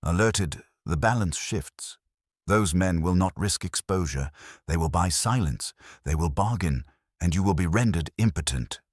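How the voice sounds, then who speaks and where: A male narrator plays an imperious, heavyset older detective from an audiobook mystery. The voice is very low and a little husky, recorded dry. He is formal, measured and sure of his authority. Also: lively intonation, dry recording. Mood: calm, certain.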